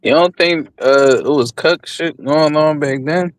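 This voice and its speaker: A man's voice: a little high, unhurried, no echo. A man on a livestream panel talking casually and profanely, blunt and skeptical.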